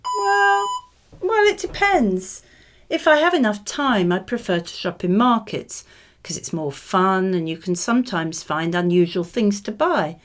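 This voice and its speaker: A woman reading scripted model answers for a speaking exam in a measured, expressive way. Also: mid-range voice.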